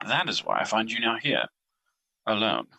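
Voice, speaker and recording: A man's voice: lowish voice, wildly swooping pitch, normal speed, gravelly, pushed and bright, dry recording, heavily acted. A man playing Guilt as a personified emotion in an audio drama, cold and judgmental, with formal, mocking, accusing delivery.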